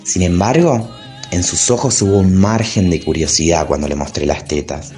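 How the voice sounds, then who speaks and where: A man acts out a flamboyant travesti poet confiding a diary. The voice is very deep, wry and theatrical, proud of itself and frank. Soft music plays behind the monologue. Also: normal speed, lightly acted. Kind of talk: dramatic literary monologue over music.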